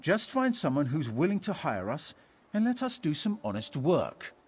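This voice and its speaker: Snooty indignant scholar in a fantasy game, highish voice that goes up and down a lot, wordy. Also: male voice, wildly swooping pitch, moderate pace, husky, heavily acted. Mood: haughty. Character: haughty, aggrieved male scholar-artificer adventurer. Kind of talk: video game character dialogue.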